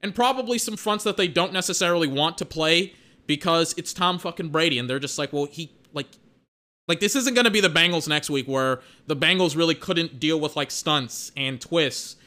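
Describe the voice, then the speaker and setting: A young man giving casual, excitable play-by-play and analysis of a football game he is watching, with lots of filler words. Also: a little high, normal speed. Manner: excitable, peppered with filler words and mock voices. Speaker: watch-along football commentator.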